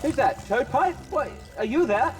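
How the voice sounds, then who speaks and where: Animated, anxious young demon nephew. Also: male voice, extremely high pitch, moderate pace, music in the background. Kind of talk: radio drama dialogue.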